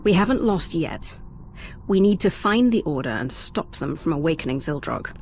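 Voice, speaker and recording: An actress playing a grave, resolute sci-fi commander in a video game, serious and concerned. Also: female voice, deep voice, lively intonation, normal speed, a little mellow, a little hiss or hum.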